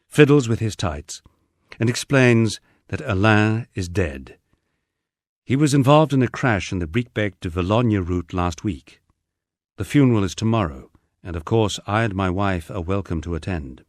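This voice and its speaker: A man's voice: lowish voice, no room sound. A man reading a first-person diary-style memoir aloud with dry, understated storytelling. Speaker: audiobook narrator.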